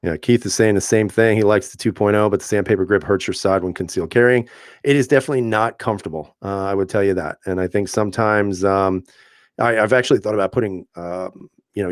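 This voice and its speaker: A man hosting a casual live stream, reading viewer comments aloud and answering in a relaxed, chatty way with frequent fillers and easy laughs. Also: on the low side, fast talker, dry recording, studio quality. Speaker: channel host reading live chat.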